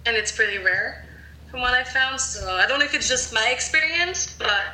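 Bright-voiced French woman, roomy sound. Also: on the high side, lively intonation, normal speed, a little hiss or hum. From France. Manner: chatty, hedging with many fillers. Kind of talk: casual chat. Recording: roomy echo.

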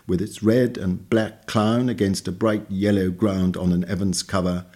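A man reads a scripted literary essay in a measured, bookish way. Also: on the low side, a little mellow, breathy edge. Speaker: reader of a magazine essay. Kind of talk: scripted narration, read essay.

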